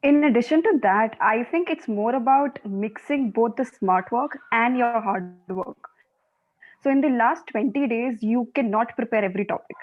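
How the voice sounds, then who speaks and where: A woman's voice: on the high side, moderate pace. A woman in her twenties with an Indian accent, giving conversational advice as a student panelist. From Delhi, India. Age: 20s. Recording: slightly dull lo-fi call audio.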